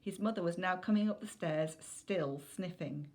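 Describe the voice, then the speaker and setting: Woman, slightly low voice, storybook narration, children's novel read aloud. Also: normal speed, darkish tone.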